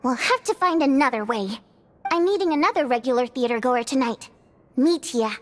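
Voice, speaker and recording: A woman playing a bubbly, cunning young theater singer in a video game, expressive, chirpy and coaxing. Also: high voice, moderate pace. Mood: confiding, scheming. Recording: music under the voice.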